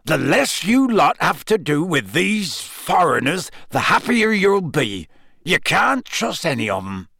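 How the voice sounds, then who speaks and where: A massive, gruff storybook giant, growling in blunt country speech. He is fiercely loyal and short-tempered, with lively swings of pitch in a dry recording. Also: unhurried, no room sound, exaggerated voice. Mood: grim, distrustful. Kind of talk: audiobook character acting.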